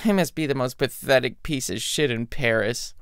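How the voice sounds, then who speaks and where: A man's voice: medium pitch, moderate pace, fairly bright tone, clear, resonant tone, no echo, studio quality, voice pushed down, close to an everyday voice. A man voicing a heartbroken, self-pitying young male art student in a story, grumbling with melodrama and sardonic bite. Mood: dejected.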